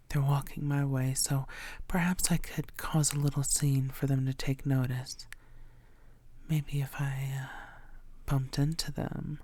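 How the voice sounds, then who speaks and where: A woman playing an infatuated, predatory female vampire in an intimate audio roleplay, murmuring in a deliberate, theatrical and often breathy way. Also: very deep voice, warm tone, no room sound, heavily acted. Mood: scheming, musing.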